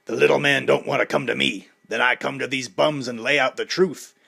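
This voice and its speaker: A man voicing a loud, menacing young male boxer who taunts and boasts about himself. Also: mid-range voice, fairly even intonation, fairly quick, creaky, husky, heavily acted.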